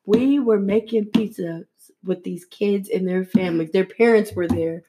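A woman's voice: medium pitch, normal speed, mellow. A woman in her twenties co-hosting a casual podcast chat, laughing often and swearing now and then. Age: 20s. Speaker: podcast co-host.

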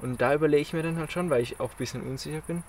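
A man's voice: a little high, very fast, slight background noise. A man in casual podcast chat, speaking hesitantly with frequent restarts. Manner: hesitant, many restarts and fillers. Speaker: podcast co-host.

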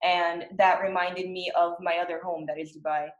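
A woman's voice: fairly low pitch, little pitch movement, normal speed, muffled recording. A young woman from Brazil, a university student speaking earnestly in a casual chat. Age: young adult. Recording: echoey room.

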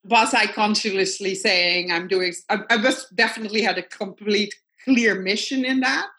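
A woman's voice: mid-range voice, moderate pace, sharp tone. A woman with a Dutch accent, whose first language is Dutch, speaks as a guest artist in a casual interview over a video call. She thinks aloud in a reflective way and circles back to her points.

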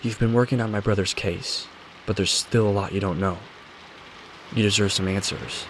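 A man's voice: fairly low pitch, moderate pace, low-quality recording. A man voicing a determined young woman who explains things matter-of-factly in a scripted mystery story. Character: determined young woman recounting her brothers' investigation. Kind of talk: scripted audio story dialogue. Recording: steady rain noise behind voice.

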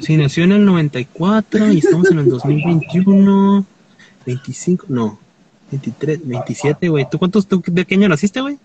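A man's voice: high-pitched, expressive, normal speed, dull audio, crowd noise. A Mexican man in his twenties, a guest chatting casually in a joking, slangy, rambling way. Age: 20s. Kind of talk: casual chat.

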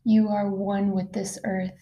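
A woman guiding a meditation, soft-spoken and unhurried, leaving long pauses between soothing phrases. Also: medium pitch, flat delivery. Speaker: meditation guide. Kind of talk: guided meditation, slow soothing narration.

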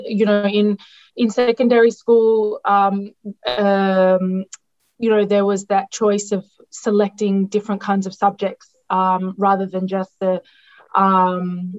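A woman who is a dietitian, her Greek, answering interview questions with many ums, restarts and hesitations. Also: mid-range voice. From Australia. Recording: dull lo-fi sound.

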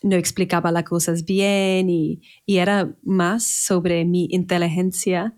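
Podcast guest: English woman, 30s, native English speaker, lowish voice, halting and searching in Spanish. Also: flat delivery, a little mellow. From England. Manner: searching for words, restarts in a second language. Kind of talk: casual conversational interview.

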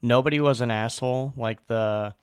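A man hosting a podcast, chatting loosely and telling a rambling travel story full of fillers. His voice is smooth and clear, recorded dry and close. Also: mid-range voice, fairly even intonation, moderate pace, no echo. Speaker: podcast host. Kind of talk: casual chat.

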